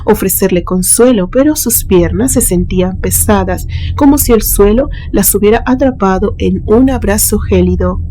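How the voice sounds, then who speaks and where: A woman's voice: mid-range voice, mellow, a little hiss or hum, rough recording. A woman reads a scripted horror story in a dramatic, suspenseful storytelling manner. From Mexico. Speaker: narrator, channel host.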